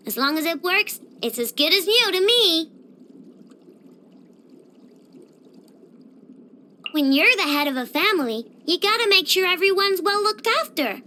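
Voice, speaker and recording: Casting: a tiny girl tinkerer for a game, very high and bright, childish, dramatic, bossy. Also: a little hiss or hum, heavily acted. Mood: proud. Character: excitable, sweet-toothed little girl robot inventor. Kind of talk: video game character voice lines.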